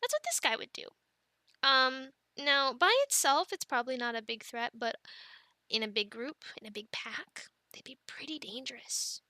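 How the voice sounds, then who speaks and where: A woman playing an affectionate, playful young mother in an ASMR family roleplay, talking gently and haltingly to her children. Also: high voice, animated, no room sound. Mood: playful, fond. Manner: gentle, halting, soft-spoken with playful bursts.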